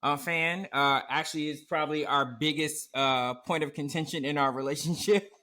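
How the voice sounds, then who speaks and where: A man hosting a casual podcast chat, talking with animated energy and often quoting others in a raised voice. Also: on the high side, moderate pace. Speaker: podcast host. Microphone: remote recording setup.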